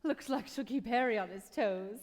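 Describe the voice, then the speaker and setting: An actress playing a warm, teasing, gently bossy mother in an old-time radio play. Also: on the high side, moderate pace, natural voice. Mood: coaxing. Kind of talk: 1940s radio play acting. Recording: echoey hall, live stage taping.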